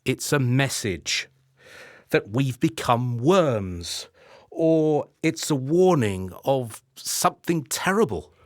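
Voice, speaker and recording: A man's voice: medium pitch, very animated, slow, no echo, studio quality. A man playing an anxious, hard-drinking, henpecked husband in a radio play, wry and theatrical. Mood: anxious brooding.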